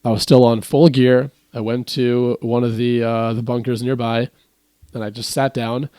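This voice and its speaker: A man's voice: mid-range voice, normal speed, no room sound, clean studio sound. A young American man, a musician guest on a podcast, telling a story casually, often restarting and acting out what others said. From United States. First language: English. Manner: rambling, with frequent restarts and animated quoting.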